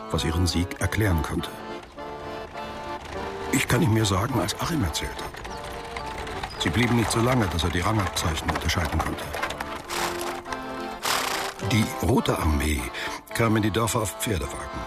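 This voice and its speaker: An actor playing a reflective narrator who tells a literary radio drama in a measured, precise, deliberate way. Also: male voice, low voice, expressive, over music. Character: reflective male author-narrator recounting a boy's postwar memories.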